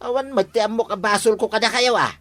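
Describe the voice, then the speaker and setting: A man's voice: sits high, fast talker, a bit bright. An actor with an Ilocano accent playing an aggrieved, protesting older village man in a radio comedy drama. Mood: indignant. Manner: plaintive, protesting.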